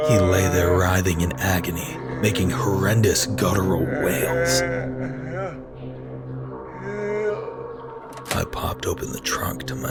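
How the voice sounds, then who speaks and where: An obsessive, unravelling office worker , acted out by a man, tells his own horror story in a very low male voice. His delivery is dramatic and tense, with a cold, violent streak beneath the anxiety. Music plays clearly behind him. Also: heavily acted. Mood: horrified. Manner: dramatic, tense, lurching from hushed murmurs to anguished cries. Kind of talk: first-person horror story narration.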